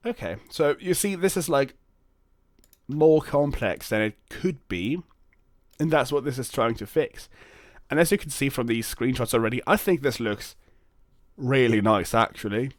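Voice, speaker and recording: A man with a medium-pitched voice hosts an informal software walkthrough. He thinks out loud, often stopping and restarting his phrases. The sound is dry and close, with very little room in it. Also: no room sound. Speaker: host of a Linux software video channel. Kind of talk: casual unscripted screen-recorded software demo.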